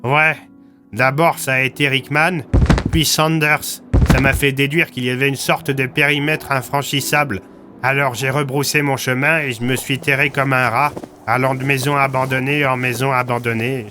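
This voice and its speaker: A man's voice: medium pitch, flat delivery, moderate pace, music in the background, pitched above the performer's own voice, close to an everyday voice. A man playing a blunt, slangy small-town police commissioner recounting his survival in an audio story. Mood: grim recounting.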